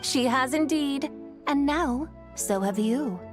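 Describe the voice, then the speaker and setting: Slow, high-voiced theatrical young woman. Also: expressive. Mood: grand, proud. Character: whimsical, theatrical young woman posing as legendary horse adeptus. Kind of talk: video game character acting. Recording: game music behind the voice.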